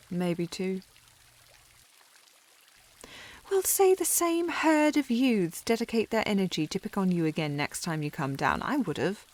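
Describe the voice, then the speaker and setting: A woman playing a snobbish, teasing young lady with haughty, formal phrasing in a historical romance ASMR roleplay. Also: lowish voice. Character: snobbish, teasing young upper-class lady, secretly fond.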